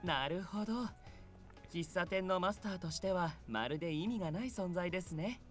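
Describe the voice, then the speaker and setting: A man's voice: sits high, lively intonation, racing. An actor voicing a polite, blunt, matter-of-fact male being from heaven in a visual novel game. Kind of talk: Japanese visual novel voice acting. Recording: game music behind.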